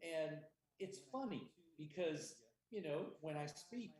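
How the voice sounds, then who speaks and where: An American man giving a conference talk on teaching, speaking emphatically and stressing key words slowly. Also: on the high side, animated, a little mellow. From United States. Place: remote conference stream. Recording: echoey room.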